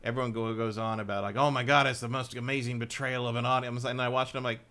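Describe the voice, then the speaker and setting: A man's voice: mid-range voice, level tone, quick pace, pushed and bright. A man co-hosting a casual game talk show, rambling with many restarts and fillers while giving blunt opinions. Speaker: podcast co-host. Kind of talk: casual chat about games.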